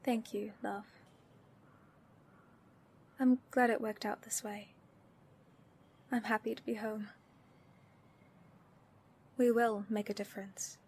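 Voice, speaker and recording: A woman's voice: mid-range voice, level tone, takes it slowly, long pauses, a little mellow, breathy edge. A woman playing a loyal, spirited supervillain in an audio roleplay, speaking in a fond, playfully daring way. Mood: tender, nervous.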